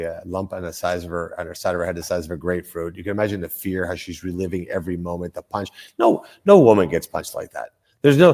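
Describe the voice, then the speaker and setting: A man's voice: deep voice, darkish tone. An older man from Colombia, a senator speaking as a guest in a political interview, emphatic, with frequent restarts and repeated phrases.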